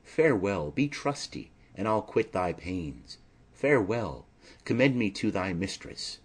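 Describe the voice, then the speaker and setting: Classic play audiobook: earnest young male lover, poetic and formal, low, somewhat husky man reads the part. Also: big pitch swings, unhurried, full character voice. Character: earnest lovestruck young nobleman.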